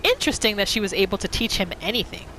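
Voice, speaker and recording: A woman's voice: mid-range voice, animated, quick pace, sharp tone, slight background noise, rough recording. A woman narrating her video game roleplay in the third person, telling the story of her character's thoughts as she plays. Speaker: gaming roleplay host. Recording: music behind the voice. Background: game music.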